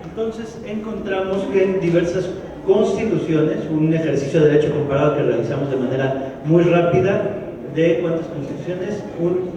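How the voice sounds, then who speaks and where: A man with a Mexico City accent lectures a live audience in a conversational, joking way, often restarting his sentences. His fairly high voice comes from well away from the microphone in a big, reverberant hall. The sound is dull, and a crowd murmurs behind him. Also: moderate pace. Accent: Mexico City Spanish. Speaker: lecturer at a public forum. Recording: echoey hall from far off, dull. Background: audience in a large hall.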